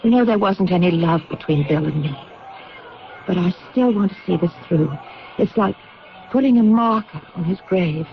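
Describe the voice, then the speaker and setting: An actress playing an anxious but determined widow, hesitant and pleading, in an old-time radio drama. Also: female voice, lowish voice, expressive, moderate pace. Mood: grieving, resolute. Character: anxious, determined grieving widow seeking answers. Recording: dull old radio recording.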